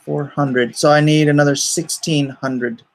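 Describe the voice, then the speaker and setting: A man chatting casually as a livestream host, haltingly and often muttering to himself. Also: fairly high pitch, flat delivery. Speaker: comic artist and livestream host.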